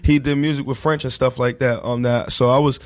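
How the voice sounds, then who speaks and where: A man's voice: medium pitch, level tone, brisk. A man chatting casually and in slang as an artist guest on a radio interview. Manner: slangy, repeats catchphrases like "you know what I'm saying". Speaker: guest, independent hip hop artist.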